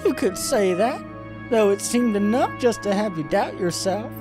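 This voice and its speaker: A seductive succubus woman, played by a man in a visual novel, speaks high over background music. The delivery is sultry and theatrical, smug and taunting, with a predatory edge. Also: expressive, normal speed. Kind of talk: reading visual novel dialogue aloud. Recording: music behind the voice.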